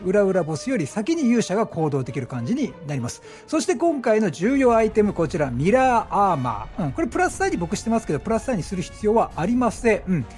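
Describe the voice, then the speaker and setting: A man giving chatty, animated gameplay commentary as a gaming streamer, explaining things as he plays and exclaiming at the action. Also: high voice. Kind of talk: excited game commentary and explanation.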